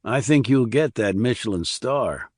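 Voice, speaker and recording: A man's voice: medium pitch, expressive, unhurried, dry recording, studio quality. A man voicing a blunt, probing army investigator in audiobook dialogue, terse and questioning. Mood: frank.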